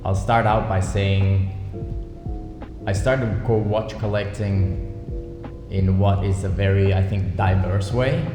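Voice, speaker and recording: A man talks about his path as an interview guest, thoughtful and unhurried, pausing on ums. His voice sits low and stays fairly level. He is heard in a reverberant office with music playing beneath. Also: fairly even intonation. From Denmark. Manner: measured, thoughtful, many ums. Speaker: watch brand founder, guest. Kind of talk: relaxed interview conversation. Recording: echoey office with music bed.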